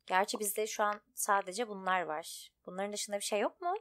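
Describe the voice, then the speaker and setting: A woman's voice: mid-range voice, moderate pace, fairly bright tone. A woman giving casual, chatty let's play commentary, thinking aloud as she goes. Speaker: gaming video host.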